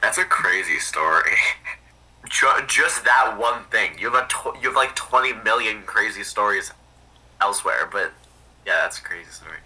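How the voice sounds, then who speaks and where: A man chatting casually and telling stories in a halting way, often repeating words and restarting sentences. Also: lowish voice, lively intonation, sharp tone, slightly rough, recorded from a distance, rough recording. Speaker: podcast speaker recounting research projects. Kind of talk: casual conversational storytelling.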